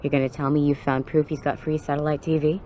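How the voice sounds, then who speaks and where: A tough, brash policewoman, acted by a woman, with a very low, mellow voice and slangy, wry delivery. It is video game dialogue with faint noise behind it. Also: level tone, quick pace, close to an everyday voice. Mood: wry, impressed.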